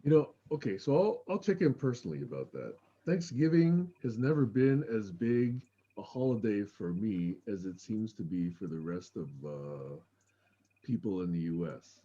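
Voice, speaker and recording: A man's voice: fairly low pitch, sing-song, moderate pace, mellow, slightly rough, highs cut off. A man from Taiwan, talking thoughtfully and earnestly in a casual group chat. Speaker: group participant. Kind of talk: casual chat on a video call.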